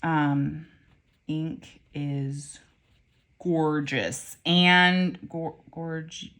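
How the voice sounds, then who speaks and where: A woman's voice: sits low, steady tone, very slow, fairly bright tone. A woman reviewing pens and inks in a casual vlog, chatty and rambling, with many ums, self-corrections and wry asides. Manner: wry, mildly swearing asides. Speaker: pen and ink reviewer.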